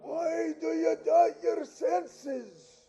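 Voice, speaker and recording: A man's voice: extremely high pitch, fairly even intonation, very slow, mellow, lo-fi sound, soft background music, exaggerated voice. An actor playing the tormented, mournful ghost of a dead businessman in a 1930s-style radio play, declaiming solemnly and wailing. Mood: ominous.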